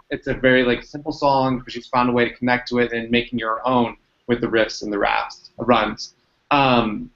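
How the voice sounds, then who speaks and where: A man moderating a casual online discussion who reads out comments from the chat. He talks in a relaxed way with hesitations, and his voice is mid-pitched. The sound is dull and lo-fi, like a video call. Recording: dull, muffled audio.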